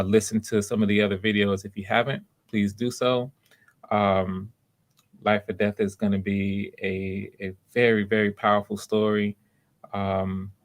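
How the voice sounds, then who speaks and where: A man hosting a livestream, explaining things casually in a halting way and often repeating himself. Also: on the low side. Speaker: livestream host running voice auditions. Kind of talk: casual live announcements to viewers.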